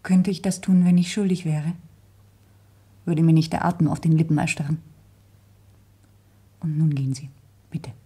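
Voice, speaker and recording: An actress playing a proud, secretive, anguished young gentlewoman in a German radio crime drama, formal and solemnly dramatic. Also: deep voice, fairly even intonation, normal speed, pauses often, husky. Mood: solemn, pleading.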